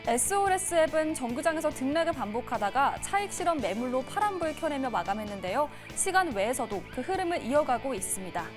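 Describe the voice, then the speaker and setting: A woman's voice: high-pitched, big pitch swings, a little fast, hardly any pauses. A woman presenting a scripted stock market news report in a polished, formal broadcast delivery. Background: music bed.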